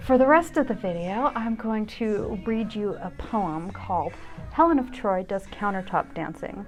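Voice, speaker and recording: A woman in her fifties narrating her own video in a reflective, wry, self-deprecating way with expressive emphasis. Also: medium pitch, moderate pace. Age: 50s. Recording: music under the voice. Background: music.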